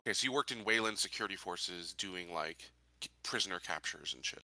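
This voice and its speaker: A man's voice: sits low, fairly quick, bright, forceful tone, dry recording, rough recording. A man running a tabletop roleplaying game, asking casual, probing questions and rephrasing them as he goes.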